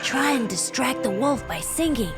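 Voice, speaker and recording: A woman's voice: sits high, expressive, moderate pace, voice pushed up. A woman voicing a brave, adventurous young boy, speaking eagerly and boldly. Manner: eager and bold. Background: music.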